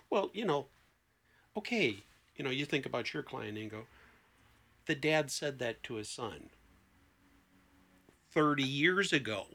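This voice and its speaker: A man's voice: medium pitch, bright, forceful tone. A man talking as a therapist guest in a conversation, explaining things emphatically with illustrative examples.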